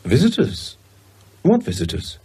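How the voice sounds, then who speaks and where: A man's voice: on the high side, wildly swooping pitch, a little slow, mellow, a little hiss or hum. An actor playing a shaken, hesitant man with a dry understated manner in a naturalistic horror radio drama. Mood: shaken, questioning. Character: shaken, wry man recovering from a night terror.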